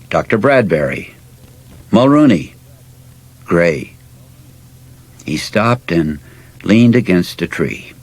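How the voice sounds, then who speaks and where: Male story narrator: very low register, unhurried, husky, reflective dramatic read. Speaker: audiobook narrator.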